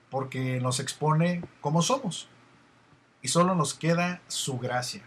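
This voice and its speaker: A man's voice: a little high, fairly bright tone, breathy edge. A man with a Mexican accent hosting an online radio show, chatty and meandering as he reads listener messages. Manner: chatty, meandering, many fillers. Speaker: radio host.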